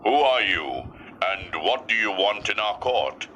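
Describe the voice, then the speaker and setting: A man's voice: fairly low pitch, very animated, unhurried, bright, forceful tone, slight background noise, full character voice. A man voicing a curious, regal king in a storybook tale, speaking in a questioning manner. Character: curious, amused male Indian king. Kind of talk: storybook character dialogue.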